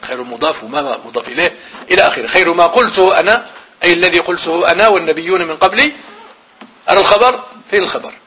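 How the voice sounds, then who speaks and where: A man's voice: high-pitched, expressive, racing, pushed and bright, slight background noise. A man with a Moroccan Arabic accent teaching a grammar lesson. He repeats phrases, asks the class questions and then answers them himself.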